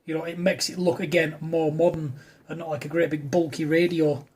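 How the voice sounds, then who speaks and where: Husky man chatting on ham radio. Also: fairly high pitch, flat delivery, brisk, darkish tone. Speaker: amateur radio operator. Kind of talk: casual radio chat.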